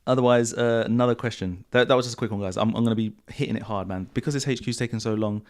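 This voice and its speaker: A man hosting a podcast in a casual, relaxed way, with a croaky voice and slangy, repetitive phrasing. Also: medium pitch, rapid-fire. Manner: relaxed, repeats himself, slangy. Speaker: podcast host. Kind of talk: casual podcast chat answering viewer questions. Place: home studio.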